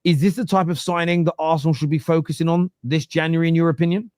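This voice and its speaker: A man's voice: fairly high pitch, fairly even intonation, quick pace, a little mellow, no room sound, clean studio sound. A man hosting a football podcast, reading an ad and asking questions in a jokey, loose and hesitant way. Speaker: podcast host. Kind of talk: casual football chat and ad read.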